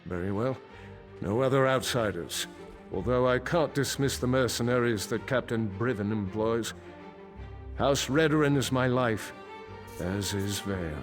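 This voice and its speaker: A man's voice: mid-range voice, a little slow, soft background music, natural voice. An actor voicing a formal, dignified councilor and worried father in a fantasy video game. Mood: formal, resolute. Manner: formal, grave, stiff. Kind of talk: video game character dialogue.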